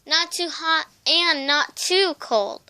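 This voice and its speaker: A woman's voice: sits high, slow, pushed and bright, voice pushed up, heavily acted. A young female reader voicing a curious, fussy little girl who speaks in short, decided remarks in a storybook. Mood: satisfied.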